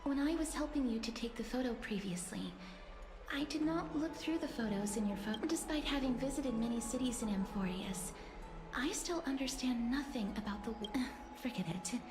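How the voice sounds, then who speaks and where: A woman voicing a polite, reserved young woman in a fantasy game, soft-spoken, formal, hesitant and wistful. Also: a little high, normal speed, echoey room, music in the background, natural voice. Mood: reflective, shy. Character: gentle, melancholy young female poetry lover, polite and reserved. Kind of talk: fantasy video game acting.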